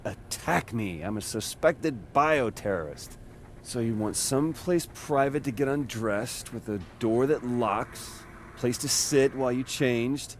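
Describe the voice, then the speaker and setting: An actor playing a brash young wisecracker in a video game, sarcastic, rambling and informal. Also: male voice, mid-range voice, slight background noise, close to an everyday voice. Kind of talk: video game cutscene acting.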